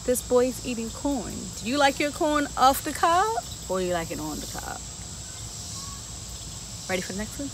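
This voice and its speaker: A woman's voice: high-pitched, moderate pace, pauses often. Woman reading to kids outdoors, insects buzzing. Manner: lively, talks straight to kids with questions. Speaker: garden educator reading to children. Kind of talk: children's story time read-aloud and garden tour. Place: outdoor garden, seated on a bench then walking among plants. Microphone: camera a few feet away, no visible mic. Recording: outdoor camera audio with steady insect noise.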